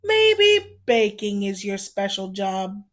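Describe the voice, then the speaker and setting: A woman's voice: mid-range voice, sing-song, takes it slowly. A woman voicing a kindly, practical elf father in a children's storybook, encouraging and advising.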